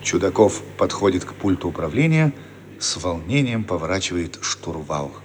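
A man's voice: sits low, lively intonation, moderate pace, a little hiss or hum. A man as the narrator of a radio play, reading scripted stage directions. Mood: animated narration.

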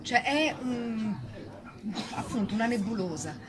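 A woman's voice: a little high, expressive, unhurried, a crowd in the background. A woman in spontaneous reflective discussion, emphatic, repeating short phrases as she searches for words. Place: public festival discussion, noisy surroundings. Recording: very noisy live event recording.

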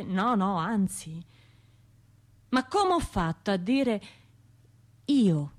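An actress playing an anguished, guilt-ridden Tuscan woman survivor in a radio drama monologue, halting and arguing with herself. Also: a little high, darkish tone. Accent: Tuscan Italian. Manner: halting, self-questioning, argues with herself.

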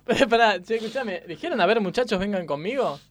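A man's voice: sits high, lively intonation, fast talker. An Argentine man in casual, blunt and slangy banter during a comedy rehearsal. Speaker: comedy troupe member.

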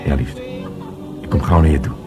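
An actor playing a dry, fond, slightly drunk police detective in a radio drama. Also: male voice, very deep voice, fairly quick. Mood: tipsy, tender. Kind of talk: naturalistic radio drama acting. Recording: music behind the voice.

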